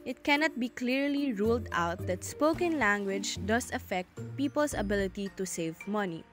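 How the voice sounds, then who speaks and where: A woman reading a scripted student voice-over about savings and language for a class report. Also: on the high side. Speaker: student presenter. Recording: music playing underneath.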